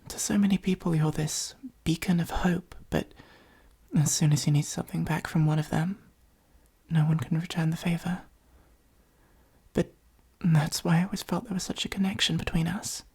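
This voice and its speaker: A woman playing a possessive supervillain who is secretly fond of a hero, in an intimate ASMR roleplay, speaking softly and breathily with an earnest, gently wry delivery. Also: low voice, steady tone, moderate pace, full character voice. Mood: tender, concerned. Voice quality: soft, husky, breathy, often near whisper.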